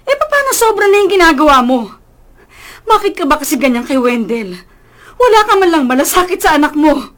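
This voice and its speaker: A woman's voice: high-pitched, big pitch swings, fairly quick, fairly bright tone. An actress playing a worried middle-aged mother who scolds reproachfully in a Filipino radio drama, with a Filipino accent. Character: worried, reproachful mother defending her son.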